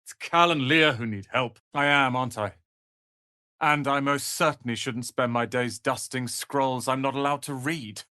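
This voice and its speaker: A man voicing a proud, sharp-tongued young wizard's apprentice and protective brother in a fantasy video game, articulate and theatrical. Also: medium pitch, normal speed, clean tone, dry recording, studio quality, lightly acted. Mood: haughty, composed.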